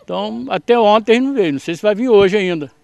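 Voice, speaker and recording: A man's voice: high-pitched, expressive, fast talker, gravelly, no echo. A man in his forties, a resident interviewed outdoors on a dirt road into a handheld mic, complaining in an exasperated way. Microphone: handheld reporter mic.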